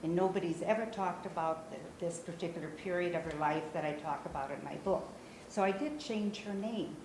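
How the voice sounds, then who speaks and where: A woman in her sixties from Brazil, a native Portuguese speaker, giving an informal talk about writing her memoir to a small group, conversational with many "uh" pauses. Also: on the low side. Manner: conversational, frequent "uh" pauses, gestures while speaking. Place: small meeting room, indoors. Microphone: far camera. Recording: roomy echo, faint room noise.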